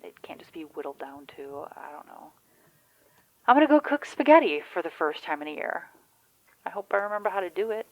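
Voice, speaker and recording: A woman's voice: fairly low pitch, lively intonation, a little fast, poor audio. A woman playing a chatty, wry, anxious friend in a naturalistic audio drama, rambling candidly with the odd swear. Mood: uneasy, thoughtful. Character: chatty, wry, anxious Michigan woman on the phone during zombie outbreak.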